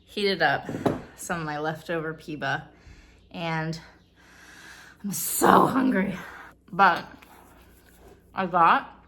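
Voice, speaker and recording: A woman's voice: sits low, slow, long pauses, fairly bright tone, a little hiss or hum. Chatty woman in her thirties, slightly stuffy. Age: 30s. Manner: chatty, bubbly, self-deprecating asides. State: slightly congested. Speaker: vlogger. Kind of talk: casual vlog talk to camera. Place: out and about, car and home.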